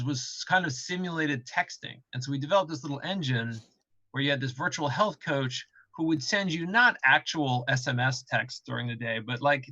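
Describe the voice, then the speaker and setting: Bright-voiced man explaining casually, dull audio. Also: medium pitch. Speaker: game studio developer on a panel. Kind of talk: casual explanatory panel talk.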